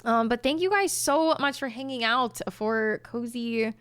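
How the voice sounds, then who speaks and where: A woman streamer, winded and slightly hoarse from reading aloud, chatting casually with many ums and repeated words. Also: fairly high pitch, measured pace, fairly bright tone, dry recording. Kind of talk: casual stream sign-off chat.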